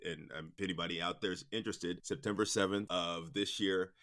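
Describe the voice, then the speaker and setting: A man's voice: low voice, steady tone, fairly quick, fairly bright tone. A man in his forties speaking casually as an interview guest, chatty and informal, thinking aloud as he goes. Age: 40s. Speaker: interview guest, production company president.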